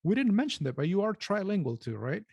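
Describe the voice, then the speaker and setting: A man co-hosting a business podcast in casual chat, friendly and quick to joke. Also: sits high, very animated, vocal fry, darkish tone, slightly rough, dry recording. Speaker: podcast co-host.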